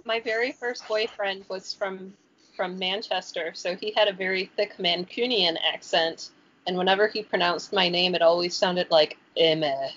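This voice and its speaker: A woman's voice: fairly low pitch, fairly even intonation, normal speed, a bit bright. A woman co-hosting a podcast, chatting candidly and laughing. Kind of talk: casual storytelling chat. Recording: dull lo-fi podcast audio.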